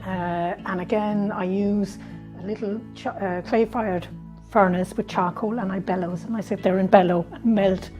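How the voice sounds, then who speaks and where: Danish-born woman speaking with halting restarts. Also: medium pitch, fairly even intonation, darkish tone, dull audio, soft background music. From Denmark. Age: middle-aged. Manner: halting, many restarts and fillers. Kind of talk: casual interview. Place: her rural workshop.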